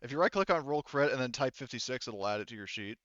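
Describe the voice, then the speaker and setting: Man, game master, casual and salty, clear smooth voice, dry lo-fi sound. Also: medium pitch, animated, quick pace, a bit bright, no echo. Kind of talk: running an online tabletop roleplaying game. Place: online voice session.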